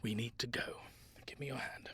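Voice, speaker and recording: A man playing an anxious but determined grandfather on the run in an audio drama, urgent and often dropping into hushed whispers. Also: medium pitch, steady tone, moderate pace, husky, no room sound. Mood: hushed, tense. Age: older.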